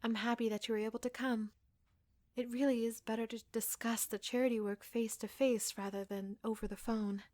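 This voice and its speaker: A woman playing an obsessive, jealous secretary in an ASMR audio roleplay, soft-spoken and often slipping into breathy whispers. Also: a little high, level tone, brisk, no room sound, lightly acted. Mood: polite, businesslike. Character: obsessive yandere secretary, devoted, jealous, coldly menacing woman.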